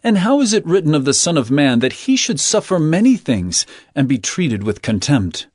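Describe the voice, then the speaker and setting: A man's voice: on the high side, sing-song, moderate pace, darkish tone, dry recording, studio quality. A man playing an authoritative, solemn teacher in a dramatized audio Bible, speaking in exhorting declarations. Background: background music.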